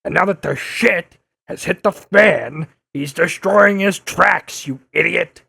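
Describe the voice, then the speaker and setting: An actor playing a gruff, blustering older newspaperman in an audio drama, insistent and quick to insult. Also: sits high, sharp tone, no echo, studio quality, heavily acted. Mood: scornful. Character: gruff, combative older male newspaperman turned blogger. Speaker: investigative reporter interviewing a superhero. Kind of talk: audio drama dub acting.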